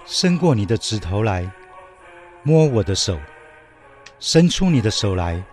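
An actor playing the risen Jesus as a calm, commanding divine teacher in a solemn biblical radio drama. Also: male voice, on the low side, measured pace, faint music behind.

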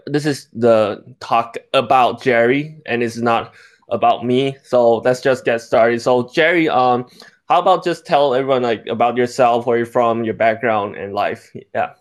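A young man from Taiwan hosting a sports podcast, talking in a halting way with lots of fillers. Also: medium pitch. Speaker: podcast host.

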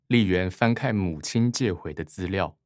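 A man's voice: low-pitched, moderate pace, a little mellow, no echo, clean studio sound. A man narrating a scripted radio drama, reading short scene descriptions.

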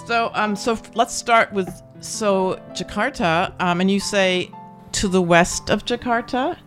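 A woman's voice: mid-range voice, unhurried, a bit bright, soft background music. A woman hosting a radio programme in a studio, interviewing guests in an enthusiastic, encouraging way. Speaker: radio show host.

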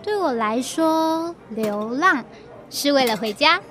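A woman voicing a cheerful, affectionate young wandering photographer in a Mandarin audio drama, expressive and teasing, with a Taiwanese accent. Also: high-pitched, takes it slowly, heavily acted. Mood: warm, wistful. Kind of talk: Mandarin radio drama acting. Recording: music bed under the voice.

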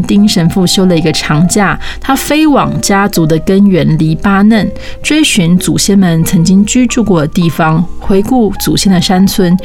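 A woman's voice: on the low side, a little mellow. A woman with a Taiwanese Mandarin accent presenting a scripted introduction as the host of a program. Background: music behind the voice.

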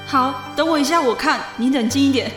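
A woman's voice: high voice, brisk, lightly acted. A young woman playing a teasing, caring teenage schoolgirl in an audio drama, speaking Taiwanese-accented Mandarin. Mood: concerned, soothing. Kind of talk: amateur audio drama acting. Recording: roomy echo under music. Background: music.

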